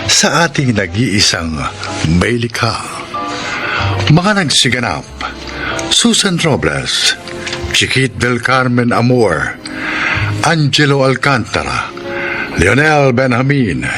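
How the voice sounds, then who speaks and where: A man with a Filipino accent announcing a scripted radio drama in a formal, measured, dramatic way. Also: medium pitch. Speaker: programme host and announcer. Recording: music bed behind voice.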